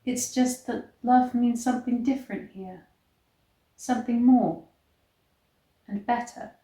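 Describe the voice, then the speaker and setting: A woman reading the part of a soothing, soft, breathy woman in a story. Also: on the high side, takes it slowly. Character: soothing, breathy long-haired woman welcoming a newcomer. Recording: echoey room.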